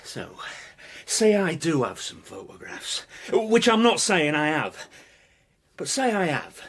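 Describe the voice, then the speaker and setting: A brazen, cornered small-time crook in a radio drama. His voice is high and rough-edged, swinging widely as he protests, dodges and haggles. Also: wildly swooping pitch, slow pace, a bit bright, exaggerated voice. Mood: wary, haggling. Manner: evasive, protesting, bargaining. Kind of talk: naturalistic radio drama acting.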